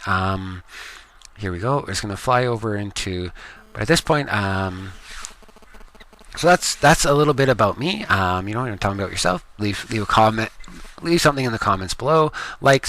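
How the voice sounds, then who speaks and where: A Canadian man giving relaxed, rambling gameplay commentary from his home room, with plenty of ums. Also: fairly low pitch, fairly quick. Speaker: gaming video creator.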